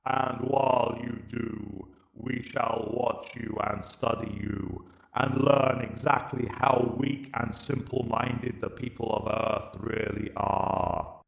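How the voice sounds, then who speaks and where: A slow, cold machine emperor. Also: male voice, mid-range voice, fairly even intonation, creaky, echoey room, poor audio.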